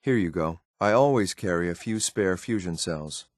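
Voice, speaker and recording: Low-voiced, cold android soldier. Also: male voice, lively intonation, normal speed, no room sound, clean studio sound. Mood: matter-of-fact. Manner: clipped, curt, formal, authoritative. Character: cold, disciplined male synth hunter, android soldier. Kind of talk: scripted video game voice lines.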